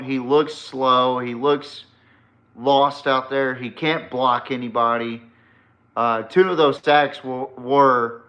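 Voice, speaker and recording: A man's voice: medium pitch, a bit bright, lo-fi sound. A man hosting a sports podcast, giving casual, opinionated commentary in a blunt, emphatic way and swearing freely.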